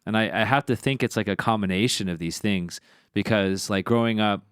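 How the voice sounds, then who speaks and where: A man with a very low, smooth voice hosts a casual podcast. He muses his way through points with lots of fillers, recorded close and dry. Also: a little fast, a bit bright, dry recording. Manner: rambling, thinking aloud with many fillers. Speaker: podcast host.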